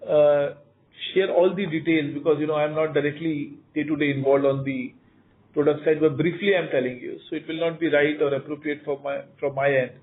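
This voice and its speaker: Phone-line audio, Indian man, company executive, higher-pitched voice, emphatic, repetitive, earnings call answers. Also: fairly even intonation, brisk. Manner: emphatic, repeats words, frequent "you know".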